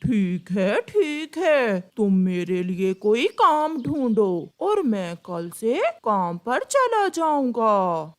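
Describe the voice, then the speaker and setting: A woman's voice: a little high, sing-song, very slow, few pauses, heavily acted. A woman voicing a lazy, scheming male crow in a cartoon story, with a grumbling, sly delivery. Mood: whiny. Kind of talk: Hindi animated moral-story acting.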